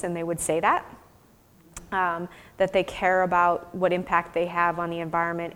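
An American woman researcher giving an academic talk and answering questions informally, thoughtful and explanatory in her phrasing. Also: lowish voice. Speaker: researcher presenting fieldwork and answering audience questions. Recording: long hall reverb.

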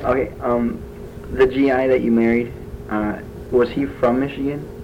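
A man's voice: medium pitch, little pitch movement, normal speed. A man interviewing, asking hesitant questions with many fillers. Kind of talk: oral history interview. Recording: dull lo-fi recording with faint hiss.

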